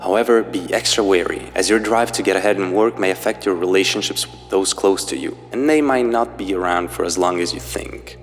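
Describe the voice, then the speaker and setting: A man's voice: sits low, brisk, few pauses, faint music behind. A man hosting and narrating a scripted analysis, explaining things directly to viewers and reading out quotes. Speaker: narrator and video host.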